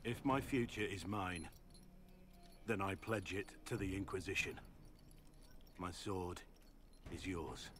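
Deep-voiced, guilt-ridden bearded warrior. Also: male voice, takes it slowly, long pauses, fairly bright tone, a little hiss or hum. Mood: brooding.

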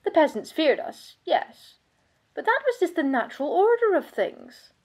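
An actress playing a bitter, world-weary aristocratic vampire countess in a theatrical monologue, pompous and sardonic in manner. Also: female voice, very high voice, very animated, moderate pace, a little mellow. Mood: disdainful, wry. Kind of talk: scripted dramatic monologue.

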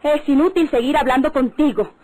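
A woman's voice: sits high, fairly quick, dark tone, slight background noise, natural voice, low-quality recording. An actress playing a cold, scornful young woman, curt and sarcastic, in an old radio drama.

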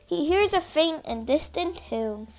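A child reading a picture book aloud in an expressive storytelling style. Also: high-pitched, measured pace, soft background music, rough recording.

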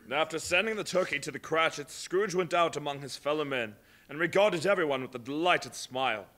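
A man narrating a stage reading of a classic story in a measured storytelling manner. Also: fairly high pitch, sharp tone.

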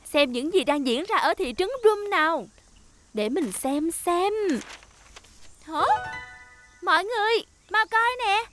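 A woman voicing a cheerful child-like vehicle character in an animated children's dub. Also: extremely high pitch, takes it slowly, long pauses, soft background music, heavily acted. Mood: excited.

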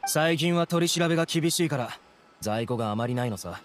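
A man playing a shifty, defeatist small-time smuggler in a Japanese video game, rough and casual in manner. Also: fairly high pitch, animated, brisk, soft background music, lightly acted. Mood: salesmanlike.

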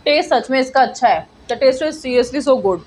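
A young woman with a high, bright speaking voice hosts a casual food review, slowing down as she savours each dish. She talks to a phone held at arm's length inside a small cafe, with faint background noise. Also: animated. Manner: chatty, mixes Hindi and English, slow drawn-out tasting remarks. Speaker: food vlogger. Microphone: handheld phone camera at arm's length.

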